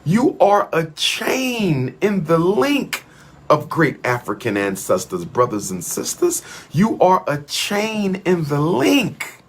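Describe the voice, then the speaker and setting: A husky-voiced man delivering a fiery speech. His pitch swings widely as he drives each point home. He leans on repetition in a rolling, chant-like cadence. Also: wildly swooping pitch, takes it slowly. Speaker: speaker addressing an audience. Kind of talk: impassioned oratory.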